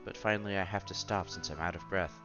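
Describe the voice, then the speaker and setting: A man's voice: sits low, steady tone, fast talker, pushed and bright, highs cut off, faint music behind. A man reading visual novel narration aloud as a streamer, expressively, with casual asides and exclamations.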